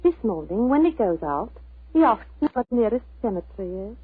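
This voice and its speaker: A woman playing a plain-spoken, worried English village landlady, deferential to gentlemen, in a 1940s radio drama. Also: a little high, big pitch swings, a little fast, slightly rough, no room sound, full character voice. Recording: tinny dry old radio recording.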